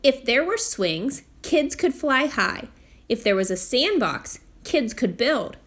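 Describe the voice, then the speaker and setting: A woman's voice: sits high, sing-song, takes it slowly, fairly bright tone, heavily acted. A woman voicing an earnest, pleading, determined young girl in a children's storybook. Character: eager, determined young girl with big ideas. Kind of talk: children's storybook character reading.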